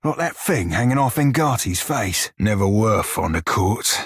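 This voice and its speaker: An actor voicing a wry, foul-mouthed pirate shiphunter in a video game, speaking a colloquial sailor's dialect with a gritty, husky voice. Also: male voice, lowish voice, animated, a little slow, no echo, clean studio sound, full character voice. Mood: wry, casual. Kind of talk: video game character dialogue.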